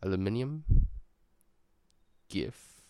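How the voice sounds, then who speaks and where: A man's voice: low-pitched, lively intonation, drawn-out words, warm tone, smooth, no room sound. A young man from New Zealand with a New Zealand accent, chatting casually and rambling through a vlog with self-corrections.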